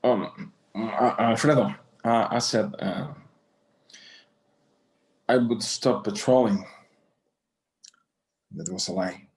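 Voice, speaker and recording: An actor playing an elderly, stubborn but tender Mexican-American rancher father in a table read, halting and slipping into Spanish. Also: on the low side, rough recording. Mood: sheepish, regretful. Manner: halting, repeating words, dropping in Spanish. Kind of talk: screenplay table read acting.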